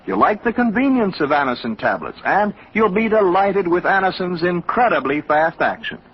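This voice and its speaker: A man's voice: sits high, very animated, normal speed, breathy edge. An American man doing polished, emphatic vintage radio announcing and ad reads. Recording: muffled old radio, music behind.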